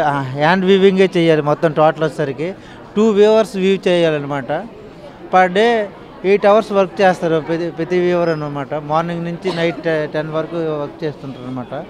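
A man's voice: sits high, darkish tone. A middle-aged man heading a weavers' society, explaining handwoven saris step by step into a handheld mic in an exhibition hall. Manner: matter-of-fact, explains step by step. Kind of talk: explaining products. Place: large indoor exhibition hall.

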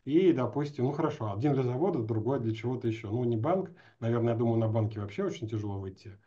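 Male host, forties, soft dark tone, informal and rambling, slightly muffled video-call sound. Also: mid-range voice, expressive, fast talker. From Astrakhan, Russia. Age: 40s. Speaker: host, interviewer. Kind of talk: casual conversational interview. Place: small room indoors, video call.

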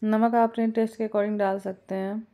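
A woman calmly talking through a home cooking recipe step by step, with small hesitations. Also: mid-range voice, fairly quick. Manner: calm step-by-step instructions with small fillers. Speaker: cooking channel host. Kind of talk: home cooking tutorial voice-over.

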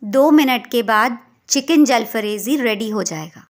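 A woman's voice: fairly high pitch, unhurried. A woman from India hosting a cooking channel, narrating a recipe step by step in an instructional way.

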